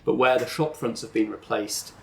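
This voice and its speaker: A man's voice: mid-range voice, steady tone, moderate pace, creaky, a bit bright, faint music behind. A man hosting a roleplay podcast and narrating as game master. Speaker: game master and show host.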